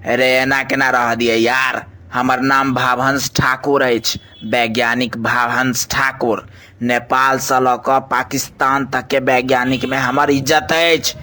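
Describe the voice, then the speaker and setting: A man's voice: mid-range voice, level tone, unhurried, sharp tone, slight background noise. A man with a Maithili accent voicing a pompous, easily exasperated scientist boss in a comic cartoon, boastful and scolding. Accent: Maithili, Mithila region. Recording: music bed under the voice.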